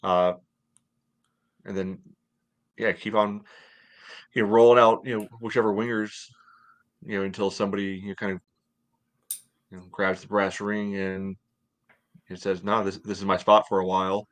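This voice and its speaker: A man's voice: lowish voice, level tone, moderate pace, pauses often. An American man in his thirties co-hosting a casual sports podcast over a video call, talking conversationally. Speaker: podcast co-host. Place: home room, indoors, on a video call.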